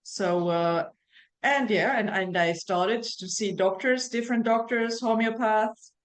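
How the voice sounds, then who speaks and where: A woman's voice: fairly high pitch, measured pace. A middle-aged woman from Germany with a German accent, a naturopath guest speaking in a casual interview with frequent fillers and restarts. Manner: hesitant, many fillers and restarts.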